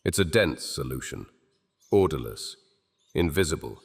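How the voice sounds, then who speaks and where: A man playing a grave, deep-voiced cursed king. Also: animated, vocal fry, husky, heavily acted. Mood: grimly threatening. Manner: slow, solemn, measured threats. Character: grieving cursed old king, grave and desperate. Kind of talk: dark fantasy audio drama. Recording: reverberant with soft music behind.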